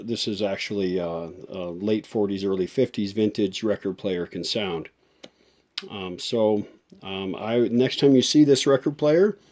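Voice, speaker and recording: A man giving a casual hands-on repair demonstration as a show host, thinking aloud in a folksy, unhurried way. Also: mid-range voice, warm tone. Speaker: host of a vintage audio repair channel.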